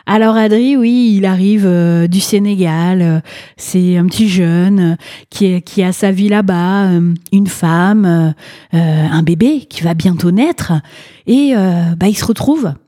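A woman's voice: on the low side, unhurried, dark tone, dry recording, clean studio sound. A French-speaking woman hosting a film review show, talking casually with many hesitations and fillers.

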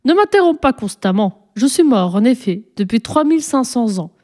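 A woman's voice: fairly high pitch, lively intonation, moderate pace, warm tone. Woman voicing a pompous, imperious ancient pharaoh mummy. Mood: solemn, lecturing. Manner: pompous, majestic, declamatory. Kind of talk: storybook reading, character dialogue.

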